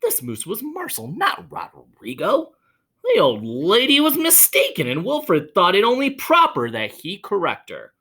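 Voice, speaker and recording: Man reading aloud, wildly expressive pitch. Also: measured pace, husky. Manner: expressive, dramatic storytelling, addressing children directly. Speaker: children's read-aloud host, teacher or school staff. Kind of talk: animated picture-book read-aloud with chatty asides.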